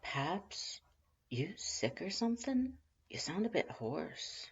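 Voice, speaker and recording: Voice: medium pitch, very animated, slow pace, husky, dull audio, voice pushed up, exaggerated voice. A performer voicing a playful male skeleton who talks in a teasing, flirtatious purr. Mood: sleepy concern.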